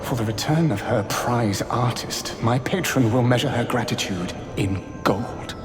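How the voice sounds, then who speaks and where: A man's voice: medium pitch, measured pace, music in the background, lightly acted. Eloquent, pleading captive man played by an actor, echoing. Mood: pleading, persuasive. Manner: well-spoken, persuasive, florid. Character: earnest, eloquent captive male artist pleading for rescue. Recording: echoey cavern reverb.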